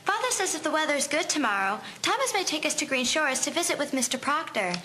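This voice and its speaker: A woman's voice: sits high, very animated, quick pace, bright, forceful tone, heavily acted. An actress playing a young woman, a sister, in a scripted audio drama. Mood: cheerful, reassuring. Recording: very noisy ambient recording with clicks.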